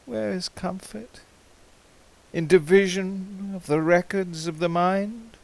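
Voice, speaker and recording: A man giving a theatrical, impassioned recitation of poetry, swinging between hushed and ringing delivery. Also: high-pitched, steady tone, slow, vocal fry. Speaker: amateur poetry reader.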